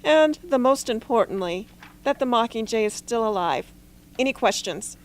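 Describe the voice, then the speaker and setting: A woman playing a brisk military leader in an amateur radio drama, formal and authoritative. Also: a little high, expressive, slight background noise, close to an everyday voice. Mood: commanding.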